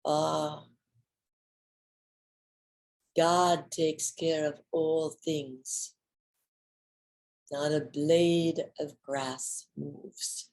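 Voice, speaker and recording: A woman acts out a saintly male swami in a stage monologue. He speaks slowly and with emphasis, friendly, protective and reassuring. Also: sits low, flat delivery, gravelly, a little mellow, heavily acted, rough recording. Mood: serene, reverent.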